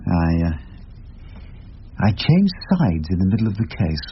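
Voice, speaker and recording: An actor with an English accent playing a crafty, sardonic criminal barrister in a radio drama, by turns narrating and in dialogue. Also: male voice, sits low, sing-song, unhurried, slight background noise, full character voice. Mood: quiet, wry. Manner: dry, sardonic, theatrical. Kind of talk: radio drama acting and first-person narration. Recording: dull, band-limited radio recording, music behind.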